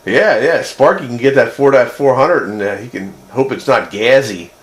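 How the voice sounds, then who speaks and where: A man's voice: medium pitch, normal speed. A man, a radio hobbyist, chatting casually about technical matters. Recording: steady background noise.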